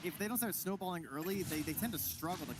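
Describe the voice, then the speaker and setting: A man with a moderately high voice chats through a live esports match as the analyst on the casting duo. Noise is clearly audible behind him. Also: lively intonation, fast. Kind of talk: conversational live game analysis.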